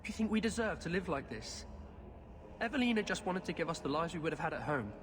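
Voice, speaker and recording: A man's voice: on the high side, big pitch swings, fast, background noise. A man playing a defiant, earnest young orphan boy in a fantasy game. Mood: defiant, pleading. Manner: earnest, defensive. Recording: long reverb, clear background ambience.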